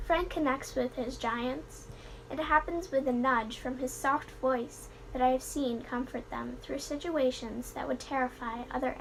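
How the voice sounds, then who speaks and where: A woman reading a written tribute aloud as scripted narration, earnest and measured. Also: high voice, low-quality recording. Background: background music.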